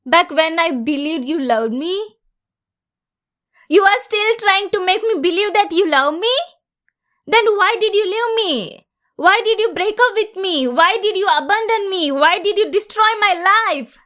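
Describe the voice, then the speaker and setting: An unhinged, heartbroken young woman in an audio drama, her voice set high and her words drawn out slowly, as a woman acts her out. She is obsessive and volatile, swinging between hushed menace and raw outbursts at the ex she holds captive. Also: expressive, full character voice. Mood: frantic shouting. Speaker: voice actor. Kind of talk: audio roleplay acting.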